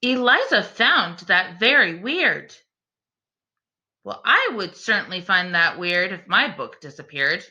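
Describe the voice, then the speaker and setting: Animated, bright-voiced woman reading to children. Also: fairly low pitch, dull audio. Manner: expressive, deliberate, addressing young listeners directly. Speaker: teacher reading a picture book aloud to children. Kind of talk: animated children's read-aloud with questions to listeners.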